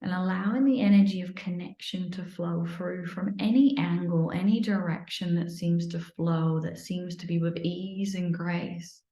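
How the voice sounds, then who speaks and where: A woman leads a guided meditation in a soothing, unhurried manner, slipping at times into near-whispers. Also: on the low side, steady tone, warm tone. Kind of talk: guided meditation, improvised live. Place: indoor room, live online video session. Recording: roomy, echoey sound.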